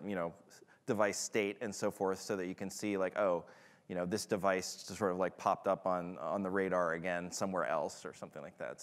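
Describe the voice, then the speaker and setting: Relaxed man answering questions in a hall. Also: deep voice, smooth. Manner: hesitant, many fillers and restarts, casual. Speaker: presenter answering audience questions. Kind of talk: conference Q&A. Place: large conference hall. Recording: long hall reverb.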